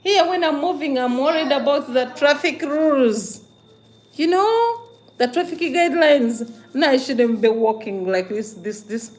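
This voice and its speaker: A woman's voice: high-pitched, a little slow. A woman from Uganda with a Ugandan accent hosts a casual livestream, talking to viewers emphatically, with repeated phrases, rhetorical questions and questioning hums. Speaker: livestream host.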